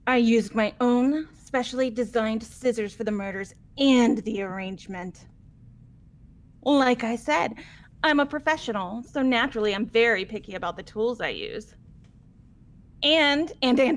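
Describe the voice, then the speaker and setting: A woman's voice: fairly high pitch, measured pace. A woman voicing a manic, flamboyant young female serial killer in a game, gleefully boastful, with theatrical, taunting delivery. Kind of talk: amateur game voice acting.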